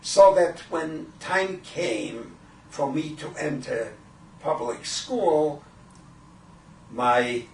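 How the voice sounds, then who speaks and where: Slow-spoken elderly man, roomy recording. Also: medium pitch. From Poland. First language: Yiddish. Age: 80s. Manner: halting, deliberate, frequent throat clearing. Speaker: Holocaust survivor giving testimony. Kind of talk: oral history recollection. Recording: roomy, distant microphone with faint noise.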